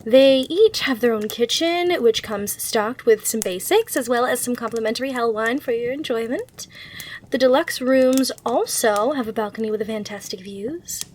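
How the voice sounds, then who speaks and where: Woman playing a high-voiced, courteous demon hotel receptionist. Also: moderate pace, darkish tone, slight background noise, close to an everyday voice. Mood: helpful, attentive. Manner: polite, reassuring customer-service patter. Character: gracious, eager female demon hotel receptionist. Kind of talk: ASMR roleplay acting.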